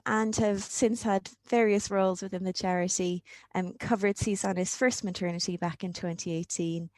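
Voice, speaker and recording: A woman's voice: mid-range voice, a little mellow, no room sound. A woman in her thirties with an Irish accent, a charity leader talking through her career as an interview guest, thoughtful and full of ums. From Ireland. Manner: thoughtful, with frequent ums and restarts. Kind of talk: conversational interview.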